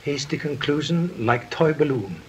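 A courteous, aphoristic old-film detective. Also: male voice, a little high, lively intonation, a little slow, a little hiss or hum, rough recording. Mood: calm. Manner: terse clipped sayings, courteous. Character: calm older male detective, polite and aphoristic. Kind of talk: 1930s film acting.

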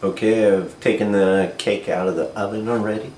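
A North American man with a low voice talks through cooking steps as an amateur host. His delivery is plain and unhurried. He is recorded on a camera mic in a roomy kitchen, with clear background noise. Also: fairly even intonation, darkish tone. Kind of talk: casual step-by-step cooking demonstration. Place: home kitchen, indoors. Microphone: camera microphone, a short distance away.

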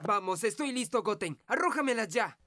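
A woman's voice: fairly high pitch, expressive, normal speed, fairly bright tone, dry recording, clean studio sound. A woman voicing a kind, earnest teenage boy fighter in an anime fan dub, explaining things like a patient older brother.

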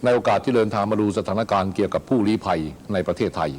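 A man's voice: on the low side, fast talker, darkish tone, slight background noise, natural voice. A man playing a formal TV news anchor who reads a bulletin in a stiff, official broadcast manner.

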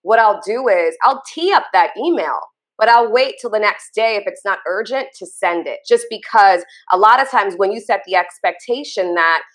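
A woman in her thirties from Trinidad, hosting an interview over a video call from a home office with lively, chatty enthusiasm. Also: medium pitch, normal speed, few pauses. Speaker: interview host.

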